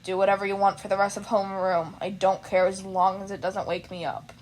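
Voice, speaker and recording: A woman voicing a tired, gruff male teacher who speaks curtly and does not care. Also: medium pitch, a little fast, pitched below the performer's own voice, natural voice. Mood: weary, dismissive. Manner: flat, curt, unbothered.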